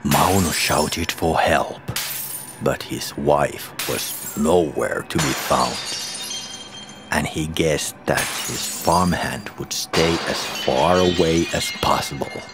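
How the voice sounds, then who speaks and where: Very deep, slow male storyteller. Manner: dramatic, deliberate storytelling. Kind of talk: scripted horror folktale narration. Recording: music behind the voice. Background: music.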